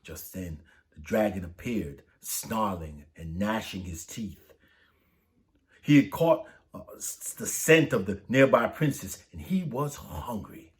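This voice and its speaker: A man's voice: fairly low pitch, slow pace. A man reading a saint's story aloud for children, lifting his voice for the quoted lines. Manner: lively, lifts voice for dialogue. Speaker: storybook reader.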